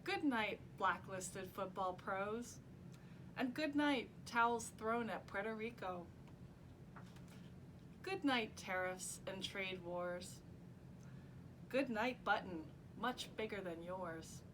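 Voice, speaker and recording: A woman's voice: medium pitch, takes it slowly, long pauses. A woman reading a parody picture book aloud in a bedtime read-along style. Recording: clearly noisy room ambience.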